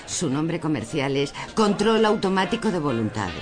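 A man acts out a docile lady devoted to consumerism in a surreal radio drama. The delivery is theatrical and preachy, with wildly swooping intonation and a Castilian accent. Loud music plays underneath. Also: a little high, big pitch swings, normal speed. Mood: blissfully smug. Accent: Castilian Spanish. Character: docile, smug female shopper devoted to consumerist control.

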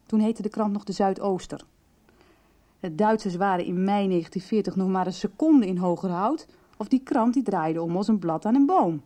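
Radio soap: actress as calm, understanding female confidante and investigator, mellow tone, conversational storytelling. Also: medium pitch, moderate pace, close to an everyday voice. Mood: engaged, explanatory. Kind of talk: Dutch radio soap acting.

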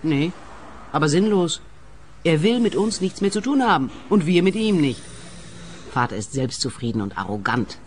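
Radio-play daughter: indignant, bitter, very animated melody, some background noise. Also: female voice, low voice, normal speed, pauses often. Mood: scornful, agitated. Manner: indignant, cutting, dramatic. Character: bitter, scornful, grasping adult daughter. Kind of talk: radio comedy-crime acting.

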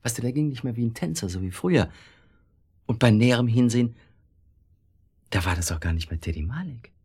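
A man's voice: mid-range voice, lively intonation, brisk, darkish tone, breathy edge. An actor in a radio drama playing a sceptical, uneasy married city man with a dismissive, impatient manner. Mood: hesitant recollection. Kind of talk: naturalistic German radio drama acting.

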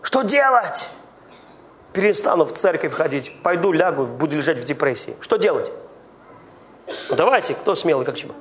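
Pastor, male, high voice, animated preaching, quizzes the congregation. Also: moderate pace, pauses often, slight background noise. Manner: lively preaching with questions to the congregation; speaks in tongues. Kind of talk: sermon.

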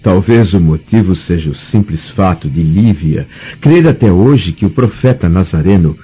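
An actor with a Brazilian accent playing a troubled, proud middle-aged Roman nobleman in a radio drama, formal and anguished, with a husky voice. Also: sits low, lively intonation, normal speed, warm tone. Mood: grave, indignant. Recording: dull, muffled radio drama recording.

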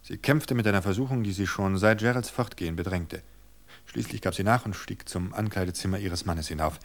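A man narrating a novel in a measured storytelling manner, slowing for suspense. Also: low voice.

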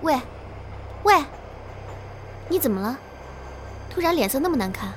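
A woman's voice: sits high, very animated, measured pace, a little hiss or hum. An actress playing a chatty, nonchalant young woman riding the train alone at night in a horror drama. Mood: curious, casual. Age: young adult. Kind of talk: conversational horror drama acting.

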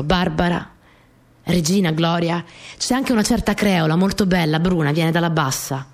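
An actress playing a spirited young wartime partisan courier girl in an expressive radio theatre monologue. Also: on the low side, close to an everyday voice. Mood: reflective. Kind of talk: dramatic first-person monologue for radio theatre.

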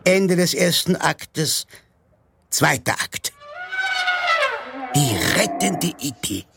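High, creaky, melodramatic announcer. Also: male voice, animated, fairly bright tone, faint music behind, heavily acted. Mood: solemn. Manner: declamatory, sensational, drawn-out. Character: melodramatic stage announcer narrating a farcical play. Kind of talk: theatrical scripted narration.

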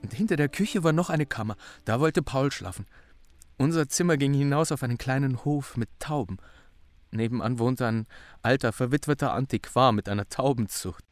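A man's voice: mid-range voice, normal speed, no echo, lightly acted. An actor playing a troubled, bewildered young man in a radio drama, recounting things in an earnest, brooding way. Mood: confessing. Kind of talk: German radio drama acting.

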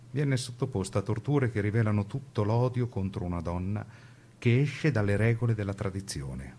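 Low-voiced man, grave radio narrator. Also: a little mellow, slight background noise. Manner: grave, deliberate, theatrical phrasing. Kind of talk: scripted dramatic documentary narration for radio.

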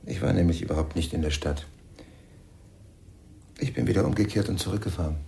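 An actor playing a guilty, self-controlled husband in a radio crime drama, terse and restrained in delivery. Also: male voice, low voice, brisk, creaky, dark tone. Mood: guarded.

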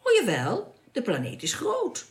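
A woman's voice: a little high, huge pitch swings, a little slow. Echoing, composed alien woman guide. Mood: composed, firm. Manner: firm, explanatory, matter-of-fact. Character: composed, authoritative female alien guide to stranded spacemen. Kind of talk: radio drama acting.

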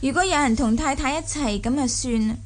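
A woman's voice: high-pitched, fairly quick, natural voice. A woman playing a young, shrewd, imperious mistress of a rich household in a Cantonese radio drama. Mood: brisk, commanding. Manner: imperious, smoothly sociable.

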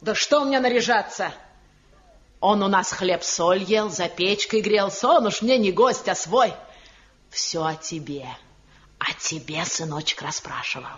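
An actress playing an older village mother in a radio drama, gossipy, folksy and excitable, doting on her son. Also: mid-range voice, unhurried. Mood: fond, chatty. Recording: muffled old radio play recording, music behind.

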